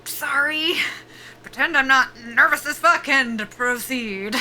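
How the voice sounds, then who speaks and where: Nervy sweary soldier, high and bright. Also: female voice, slow, slight background noise, exaggerated voice. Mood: nervous, joking. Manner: sardonic, casual, sweary, joking under nerves. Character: nervy, foul-mouthed young female soldier, wry and defiant. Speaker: actor in audio drama. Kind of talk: naturalistic sci-fi audio drama acting.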